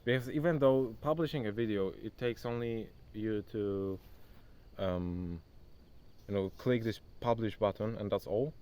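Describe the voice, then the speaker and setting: A man's voice: on the low side, a little slow, darkish tone, smooth. A young man who is not a native English speaker, vlogging casually outdoors into his phone, chatty and loose, calling the viewer "bro.". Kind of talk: casual self-recorded vlog talk. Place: outdoors, rural spot near a forest. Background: faint insects and outdoor nature sounds.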